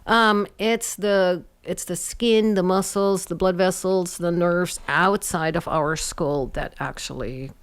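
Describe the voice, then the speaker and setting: Lady in her 50s, lowish voice, calm, explains skin and headaches to a radio host. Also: takes it slowly, few pauses, clean tone. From Germany. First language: German. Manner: calm, explains science plainly. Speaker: guest health researcher. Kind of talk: explanatory conversation.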